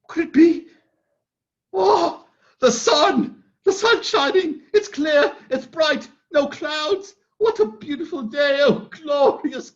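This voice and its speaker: A man's voice: high-pitched, warm tone. A man reading aloud and voicing an elderly miser overcome with joy, breathless and cackling, in a dramatic old-time radio style, on a dull lo-fi recording. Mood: ecstatic.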